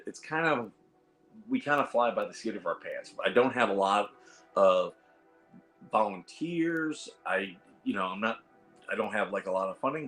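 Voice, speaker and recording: A hoarse man hosting a casual livestream, rambling with lots of fillers and reading out the chat. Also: fairly low pitch, a bit bright. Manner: rambling, reads chat aloud, frequent fillers. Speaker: host of a veterans livestream. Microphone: iPad.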